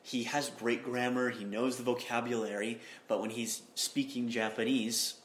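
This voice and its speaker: Man hosting a relaxed language podcast. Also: mid-range voice, moderate pace. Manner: conversational, anecdotal, many "uh" and "um". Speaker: podcast host. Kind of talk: casual interview talk. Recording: echoey room with faint noise.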